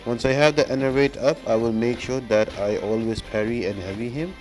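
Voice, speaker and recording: A man's voice: medium pitch, fairly even intonation, fairly quick, a little mellow, faint music behind. A man giving casual, instructional commentary as he explains a gameplay walkthrough step by step. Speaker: game guide video host.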